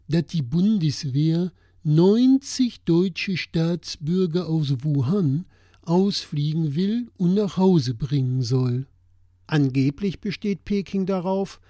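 Man acting as wondering citizen, concerned, slightly high male voice, scripted satirical audio play, dry sound. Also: mellow, dry recording. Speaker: audio play dialogue speaker. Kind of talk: scripted satirical dialogue on current affairs.

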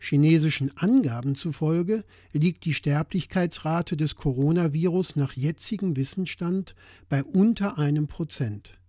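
A man's voice: on the high side, measured pace, mellow, dry recording, clean studio sound. A man acting as a concerned citizen in a scripted satirical dialogue about current affairs. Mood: matter-of-fact. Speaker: audio play dialogue speaker.

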